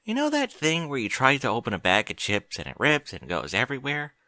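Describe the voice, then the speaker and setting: A man's voice: medium pitch, wildly swooping pitch, brisk, pushed and bright, no echo, voice pushed up. A man voicing a fretful, bookish young pony mare, anxious and easily flustered. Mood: weary.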